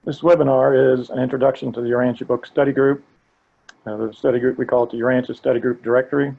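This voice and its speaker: An older man with a gravelly voice, earnestly presenting a live training webinar. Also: medium pitch, fast talker, narrow, tinny audio. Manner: earnest, steady, occasional restarts. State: gravelly voice today.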